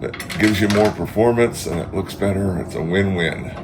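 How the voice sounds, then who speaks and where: A man's voice: low-pitched, moderate pace, heavy background noise. A man with a stuffed-up nose from allergies, hosting a casual how-to vlog in his garage and thinking aloud with fillers. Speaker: car channel host. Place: home garage beside a car.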